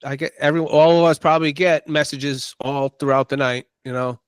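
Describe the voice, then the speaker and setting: A man's voice: fairly high pitch, fairly even intonation, fairly quick, clear, resonant tone, no room sound. A middle-aged man, a podcast guest, telling stories animatedly and acting out phone calls. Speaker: podcast guest, real estate investor. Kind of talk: casual conversation.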